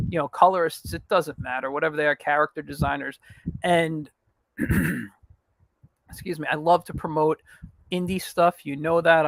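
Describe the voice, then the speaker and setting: A man talks loosely to his livestream viewers, trailing into fillers and restarts as he goes. His voice sits a little high and has a gravelly, fry-laden edge. It turns raspy now and then. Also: fairly bright tone, low-quality recording. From New York. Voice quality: raspy at times. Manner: rambling, frequent fillers and restarts. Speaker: podcast host. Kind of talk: casual livestream announcement.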